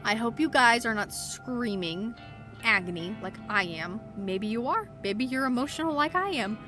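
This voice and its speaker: A woman's voice: animated, moderate pace, over music. A young woman playing a game on a desk microphone, reading the game text aloud and screaming and swearing in shock. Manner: reads game narration in a lower, quieter tone. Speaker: let's play gamer. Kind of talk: game playthrough commentary with read-aloud game text. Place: home gaming desk.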